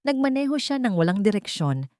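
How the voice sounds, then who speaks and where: A woman's voice: mid-range voice, very animated, brisk, darkish tone, dry recording, studio quality. A woman reading scripted, dramatic romance narration as a storyteller.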